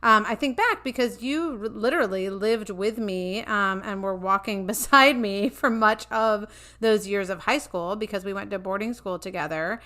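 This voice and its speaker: Woman, podcast host, bright clear tone, conversational, earnest questions. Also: medium pitch, normal speed, hardly any pauses. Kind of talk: conversational interview.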